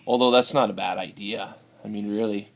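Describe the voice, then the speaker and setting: A man's voice: on the low side, steady tone, vocal fry. A man doing a rambling Canadian hoser. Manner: rambling, halting, tacks on "eh". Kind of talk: improvised comedy sketch.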